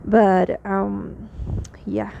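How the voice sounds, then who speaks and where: A woman's voice: mid-range voice, flat delivery, drawn-out words, creaky, mellow. A young woman from Mexico who speaks English with a Mexican accent, talking casually and hesitantly in a spoken diary, tired and drained, with many fillers and restarts. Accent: Mexican Spanish. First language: Spanish. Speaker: vlogger. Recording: slightly dull lo-fi audio with faint noise.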